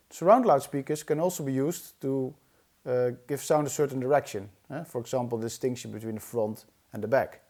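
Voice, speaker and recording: A man giving an explanatory tutorial in a measured, didactic way, as an instructor. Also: a little high, dark tone. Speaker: audio-video instructor.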